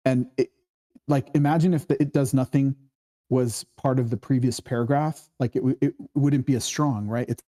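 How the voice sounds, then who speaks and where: A man hosting a casual screenwriting discussion, explaining in a halting way and often checking for agreement. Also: mid-range voice, mellow. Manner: halting, explanatory, keeps seeking agreement with "right?". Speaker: host of a screenwriting table read.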